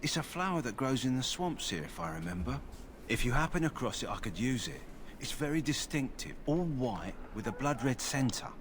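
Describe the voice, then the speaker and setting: A plain, matter-of-fact kennel master of middle years, as a male actor plays him in a fantasy game. His voice sits mid-pitched, with steady noise under it. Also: moderate pace, lightly acted. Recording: game audio with clear background noise.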